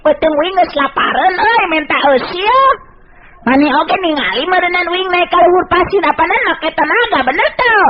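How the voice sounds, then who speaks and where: A cocky shrill teenage boy. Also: lively intonation, normal speed. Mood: demanding. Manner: cocky, whiny, demanding. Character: brash boastful teenage boy fighter. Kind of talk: 1980s radio storytelling. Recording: lo-fi old radio recording.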